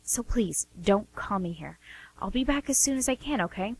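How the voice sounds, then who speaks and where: A woman's voice: mid-range voice, lively intonation, normal speed, breathy edge, no echo, low-quality recording. A woman playing an anxious, chatty sister who speaks in a hesitant, earnest ramble in a radio play. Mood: worried, secretive. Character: anxious, chatty sister defending her wayward brothers. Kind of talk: amateur radio play dialogue.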